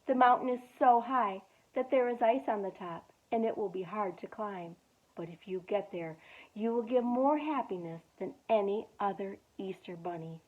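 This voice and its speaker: A woman voicing a gentle old grandfather bunny. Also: mid-range voice, normal speed, close to an everyday voice. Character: old wise kind male grandfather bunny. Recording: tinny phone-like audio.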